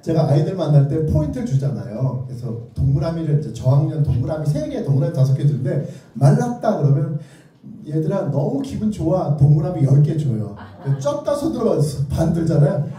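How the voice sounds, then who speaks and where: Guy with a highish mellow voice doing a playful quiz-style lecture, kinda far from the mic, super echoey. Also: sing-song, brisk. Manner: playful, theatrical, prompting answers. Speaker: children's author giving a lecture. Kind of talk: interactive lecture, quizzing the audience with either-or questions. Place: echoey indoor lecture room. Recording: very echoey hall, distant mic.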